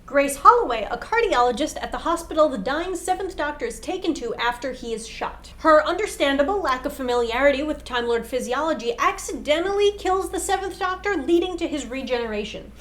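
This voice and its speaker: A woman's voice: high voice, lively intonation, fairly quick, hardly any pauses, fairly bright tone. A young adult woman, co-hosting a scripted explainer to camera. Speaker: co-host. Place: small indoor room set with whiteboard.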